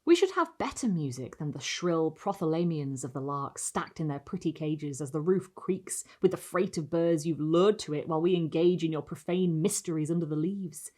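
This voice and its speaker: A woman reading gothic literary prose aloud as dramatic narration, with expressive, deliberate phrasing and pauses. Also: low voice. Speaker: reader of literary short stories.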